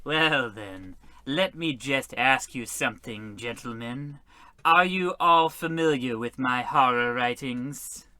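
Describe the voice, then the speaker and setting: A creepy, genteel horror novelist played by a man, medium in pitch with a crisp, forceful edge. He speaks formally and mysteriously, as in a vintage radio comedy. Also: takes it slowly, dry recording. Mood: mysterious, courteous. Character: creepy, genteel horror writer, mother's boy.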